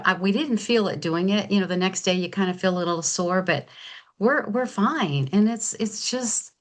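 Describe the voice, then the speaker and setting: A woman in her seventies sharing an enthusiastic, chatty testimonial on a video call, often restarting her sentences. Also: fairly low pitch. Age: 70s.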